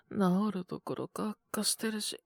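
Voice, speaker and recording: Casting note: woman playing a soft-spoken young male teacher, feverish and coughing, slow drowsy mumble, swoopy pitch, bone-dry close sound. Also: gravelly, no room sound, exaggerated voice. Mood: weary, murmuring. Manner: soft, tired, mumbling, often whispered. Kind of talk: audio drama for women listeners.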